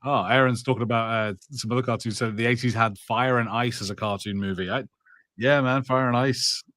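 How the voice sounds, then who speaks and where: A man's voice: medium pitch, fairly even intonation, fairly quick, fairly bright tone, dry recording. A man co-hosting a podcast in casual film chat, joking and swearing freely. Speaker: podcast co-host. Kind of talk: casual watch-party chat about films.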